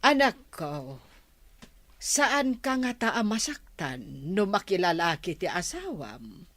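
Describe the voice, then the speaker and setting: A woman from the Philippines voicing a patient older mother who gives calm moral advice in an audio drama. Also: mid-range voice, takes it slowly, no echo. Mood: steady counsel. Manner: calm, gently preaching.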